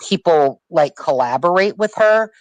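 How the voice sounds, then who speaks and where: A woman's voice: fairly low pitch, animated, normal speed, darkish tone, no echo, low-quality recording. A woman on a live-streamed panel chat, talking about music in an emphatic, opinionated way and repeating her points. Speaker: panel guest.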